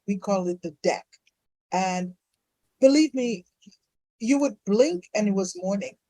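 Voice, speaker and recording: A woman's voice: medium pitch, warm tone, no echo, poor audio. A middle-aged woman, a doctor being interviewed, telling personal stories casually with effusive enthusiasm. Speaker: fertility doctor, interview guest.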